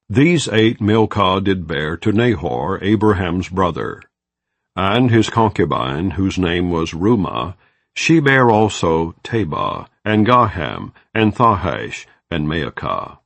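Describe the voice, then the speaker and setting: A man with a very deep voice reads scripture in a measured, solemn way. His pitch moves freely, rising and falling through each line. The sound is close and dry, with no room echo. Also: big pitch swings, gravelly. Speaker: Bible reader.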